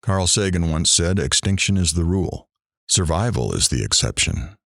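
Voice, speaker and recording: Guy acting calm radio host in an audio drama, really deep voice, slow-measured n reassuring, no room echo. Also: creaky, clean studio sound, exaggerated voice. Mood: reflective. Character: calm, earnest male late-night radio talk host. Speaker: talk show host.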